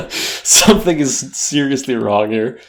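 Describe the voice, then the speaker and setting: A man co-hosting a casual podcast, with a gravelly, fry-heavy voice at a middle pitch. He teases his co-host and drops wisecracks between laughs. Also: lively intonation, slow pace, a bit bright. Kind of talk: casual chat.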